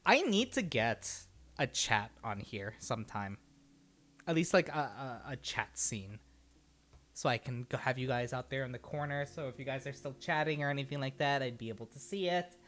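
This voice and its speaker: Casual streamer chatting to viewers, mid pitch voice, rambly and giggly, swears a bit. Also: lively intonation, normal speed, a bit bright. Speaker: livestream host. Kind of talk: casual livestream chat.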